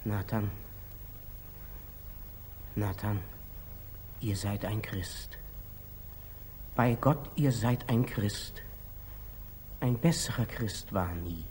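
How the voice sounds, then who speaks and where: An actor playing a humble, devout, simple-hearted lay brother in a 1940s German radio drama, gentle and plain-spoken. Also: male voice, lowish voice, very slow, long pauses. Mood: moved, reverent. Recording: faintly noisy 1940s radio recording.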